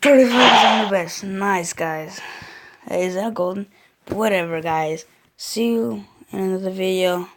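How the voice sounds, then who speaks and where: A young woman casually talking while playing a phone game, rambling and joking about herself, with loud noise behind her. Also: mid-range voice, measured pace. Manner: rambling, self-deprecating, easily distracted. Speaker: gamer recording a mobile game. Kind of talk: casual gameplay commentary. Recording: loud background noise with clicks.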